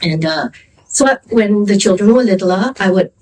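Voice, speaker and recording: A woman from Singapore speaking casually on a festival panel, hesitant with many pauses. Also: medium pitch, lively intonation, darkish tone, distant mic, rough recording. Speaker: author on a panel. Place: festival venue.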